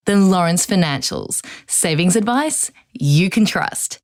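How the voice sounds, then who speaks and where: An actress playing a composed investigative podcast host, probing but sympathetic, in an audio drama. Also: female voice, fairly low pitch, lively intonation, measured pace, soft background music. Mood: composed, presenting. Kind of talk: scripted podcast narration and interviewing.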